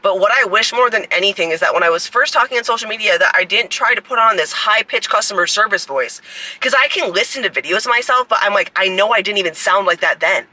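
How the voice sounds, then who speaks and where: A woman in her mid-twenties with a deep, raspy voice, rambling casually to a phone camera outdoors, full of "like" fillers and swearing. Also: expressive, fast, pushed and bright, rough recording. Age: 20s. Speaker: fitness coach and creator. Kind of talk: casual vlog talk to camera.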